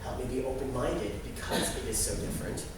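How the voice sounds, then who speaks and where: A young man giving an informal student presentation in a halting way, with false starts and repeated phrases. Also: medium pitch, steady tone, distant mic. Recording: very echoey, noisy room from afar.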